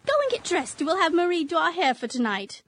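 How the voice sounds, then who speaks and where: A woman's voice: very high-pitched, lively intonation, a little fast, a bit bright, slight background noise, heavily acted. An actress playing a playful, flirtatious Victorian widow in her thirties in a radio drama, teasing and genteel. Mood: fondly bustling.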